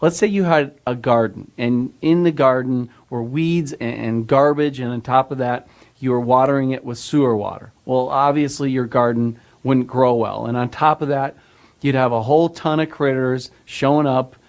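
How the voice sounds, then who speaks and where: A man with an average-pitched voice talks through an explanation in an informal way. He sounds unscripted and conversational, leaning on everyday comparisons and checking in with rhetorical questions. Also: darkish tone.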